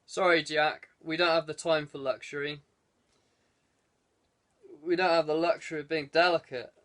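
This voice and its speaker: Man voicing decisive adventurer, slightly high pitch. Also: fairly even intonation, moderate pace, a bit bright, close to an everyday voice. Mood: brisk, decisive. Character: James, decisive male adventurer leading a treasure hunt.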